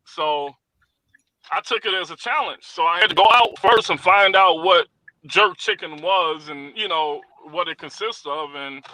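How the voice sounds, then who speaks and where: A man's voice: high-pitched, sharp tone, no room sound, poor audio. A man who owns a popcorn shop, chatting as a guest, telling stories animatedly with restarts and repeated words. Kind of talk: casual interview chat.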